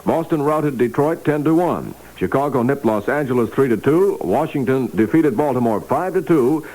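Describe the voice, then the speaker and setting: A man's voice: mid-range voice, a little mellow, low-quality recording. A man reading scripted copy as a radio announcer in a polished, formal 1960s newscast style. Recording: 1960s AM radio aircheck, hum and hiss.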